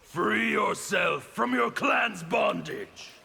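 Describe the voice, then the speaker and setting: A man voicing a fanatical anarchist warrior in a fighting game, with a harsh gravelly rasp and a theatrical, taunting, defiant delivery. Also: high voice, slow, sharp tone, soft background music, heavily acted. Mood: preaching, fierce. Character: fanatical male anarchist warrior preaching chaos and freedom. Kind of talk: fighting game character acting. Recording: reverberant hall, background noise.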